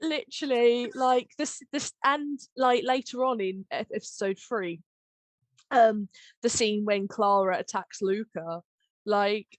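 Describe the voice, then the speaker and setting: A woman's voice: a little high, takes it slowly, no room sound. A woman hosting a casual behind-the-scenes chat in a giggly manner. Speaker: podcast host, audio drama maker.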